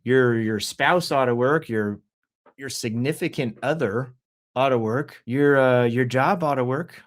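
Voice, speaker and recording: A middle-aged man hosting a livestream, talking casually and jokily in an animated, rambling, off-the-cuff way with rhetorical questions. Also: mid-range voice, unhurried. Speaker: host of a livestream news show. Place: office.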